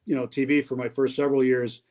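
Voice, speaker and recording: A middle-aged man from New Hampshire, a radio baseball announcer, chatting as an interview guest in a relaxed, rambling way with many fillers. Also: medium pitch, quick pace. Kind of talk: casual interview conversation.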